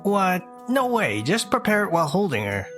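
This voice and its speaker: Lively, swinging male delivery from an actor as a self-centred, dismissive young husband, comic-dub drama. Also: sits high, normal speed, soft background music, heavily acted. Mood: indignant. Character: selfish, dismissive young husband who shirks chores. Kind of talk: scripted comic dub dialogue.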